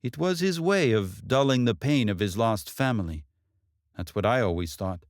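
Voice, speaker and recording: A man's voice: mid-range voice, expressive, normal speed, no echo, studio quality, lightly acted. A man voicing an older mother in a story, blunt and proud, with emphatic rhetorical questions. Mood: grave. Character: older mother, wise, proud, sharp-tongued, bitter about in-laws.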